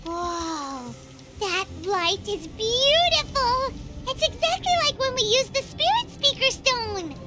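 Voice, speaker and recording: An extremely high, squeaky childlike fairy companion from a video game. She is eager and dramatic, with very animated pitch. Loud music plays behind her. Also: moderate pace, hardly any pauses. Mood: awed. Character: small excitable childlike fairy companion, female.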